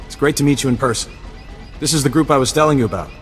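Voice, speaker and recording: A man's voice: mid-range voice, rapid-fire, faint music behind, close to an everyday voice. An actor playing an eager, nervous, idealistic young man working as a mole, chatty and keen to please in a game cutscene. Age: young adult. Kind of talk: video game cutscene acting. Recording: reverberant hall sound.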